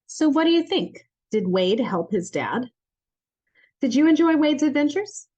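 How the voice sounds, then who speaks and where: A woman narrating a children's book as an expressive, animated read-aloud storyteller. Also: high-pitched, a little slow.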